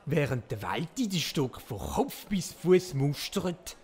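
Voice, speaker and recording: A narrator telling a comic audio play in a cheeky, lively way with a Swiss German accent. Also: mid-range voice, slow pace, husky, full character voice. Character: cheeky, lively storyteller of a mock legend.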